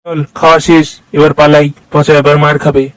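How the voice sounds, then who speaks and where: A man voicing a scheming, rough-mouthed village buddy in a comedy cartoon, mocking and slangy. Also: fairly high pitch, little pitch movement, fairly quick, noisy background, close to an everyday voice. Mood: mischievous.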